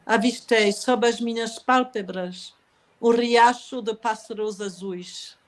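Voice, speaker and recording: A woman author, a lingering cough after an illness, talking calmly about poetry and reading gently from her novel. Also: a little high, rough recording. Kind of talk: conversational interview, then reading aloud from her novel.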